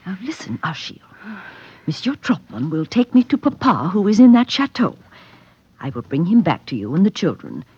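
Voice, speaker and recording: A woman's voice: on the low side, sing-song, normal speed, a little mellow, slightly rough, dry recording, full character voice. A woman playing an anxious, trusting wife and tender mother in an old-time radio drama. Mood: hushed, tender. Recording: muffled, noisy vintage radio recording.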